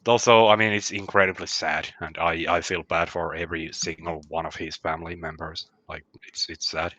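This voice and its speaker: Man, very deep voice, bright and forceful tone, crude dark jokes, dry lo-fi audio. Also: flat delivery, moderate pace. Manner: crude, swearing, dark humor. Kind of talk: casual chat.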